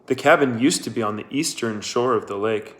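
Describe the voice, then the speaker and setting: A man's voice: medium pitch, a little fast, a bit bright. A man reading a novel aloud as its narrator. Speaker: audiobook-style narrator.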